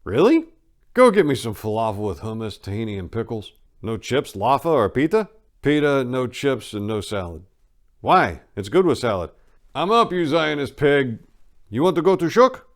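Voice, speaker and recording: A man voicing a brash, loud young man trading slangy, teasing banter with his buddy. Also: mid-range voice, sing-song, normal speed, pitched above the performer's own voice.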